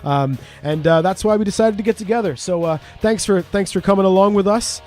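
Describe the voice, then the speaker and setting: A man's voice: high voice, a little fast, a little mellow, soft background music. A man with a Canadian accent co-hosting a podcast in casual, talkative chat, joking and swearing freely. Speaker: podcast co-host.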